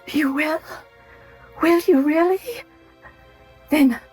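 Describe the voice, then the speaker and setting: A woman playing a weak, childlike young gentlewoman in a gothic horror audio drama, pleading languidly and breathily. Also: sits high, steady tone, slow pace, faint music behind. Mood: frail, anxious.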